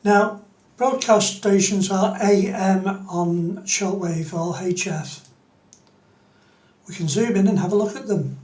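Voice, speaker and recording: High-pitched man presenting a tutorial, roomy sound. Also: slow, darkish tone. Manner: explanatory, step-by-step. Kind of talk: unscripted screen-demo tutorial.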